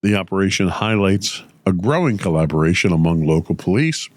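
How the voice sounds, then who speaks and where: A man hosting a tech news podcast, delivering measured, explanatory commentary with a voice slightly rough from a cold. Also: sits low, animated, no echo, studio quality. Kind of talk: news commentary, partly read from script.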